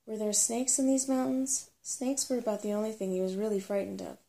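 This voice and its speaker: A soft-spoken woman reading a children's fantasy story aloud in an unhurried ASMR style. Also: medium pitch, flat delivery, vocal fry. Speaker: storybook reader.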